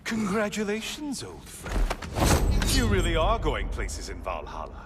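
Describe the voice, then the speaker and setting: An actor playing a sardonic, taunting sun god in a video game, with theatrical, mock-cheerful sarcasm. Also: male voice, a little high, huge pitch swings, a little slow, very noisy. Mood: sarcastic, mock-offended. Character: taunting, sardonic sun god, gleefully mocking old foe. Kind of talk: dramatic video game acting.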